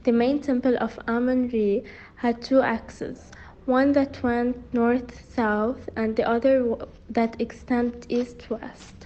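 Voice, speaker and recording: A woman's voice: fairly high pitch, little pitch movement, slow, warm tone, dull audio. A woman reading scripted documentary narration, sometimes stumbling and repeating words as she reads.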